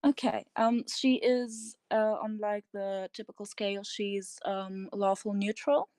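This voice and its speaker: A woman with a German accent, answering interview questions in a hesitant, tired and slightly nervous way, with many pauses and ums. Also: medium pitch, steady tone, darkish tone, no room sound, clean studio sound. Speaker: interview guest. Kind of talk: casual interview over voice chat.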